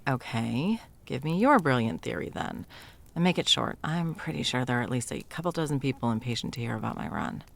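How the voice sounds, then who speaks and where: A woman playing a sarcastic, self-doubting, hesitant Christmas elf in an intimate ASMR audio roleplay, with a creaky voice. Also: very deep voice, expressive, brisk, full character voice. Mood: flustered, sarcastic.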